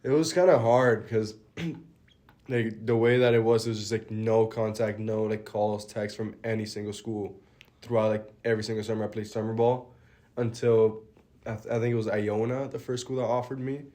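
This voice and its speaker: A young man from the Dominican Republic, a college baseball pitcher, answering interview questions in a relaxed, plainspoken way and letting his phrases trail off quietly. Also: moderate pace. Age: young adult.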